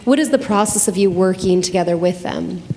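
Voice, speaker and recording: A woman's voice: lowish voice, brisk, dark tone. Woman interviewer in an echoey hall. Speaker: interviewer, museum curator. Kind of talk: live on-stage interview. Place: public talk in a hall. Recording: echoey hall, faint background noise.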